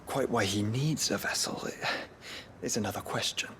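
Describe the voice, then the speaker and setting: An actor playing a noble, thoughtful young man in a video game cutscene, speaking formally and eloquently with deliberate earnestness. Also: on the low side, fairly bright tone, slight background noise. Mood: solemn, pensive. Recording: faint game music behind.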